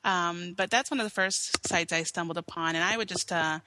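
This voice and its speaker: American woman guest, lowish voice, noisy line, relaxed and hesitant. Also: a little fast. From Hawaii. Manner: relaxed and chatty, hesitant with many ums. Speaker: interview guest. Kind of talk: casual interview answers.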